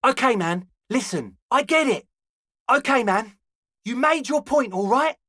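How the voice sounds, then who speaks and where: A man's voice: very high-pitched, expressive, fairly bright tone, dry recording, studio quality, exaggerated voice. A male actor voicing a young, slangy club hustler in a video game, conciliatory and laid-back with a pleading manner. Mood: placating. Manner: slangy, placating, drawn-out pleading. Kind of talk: video game character dialogue.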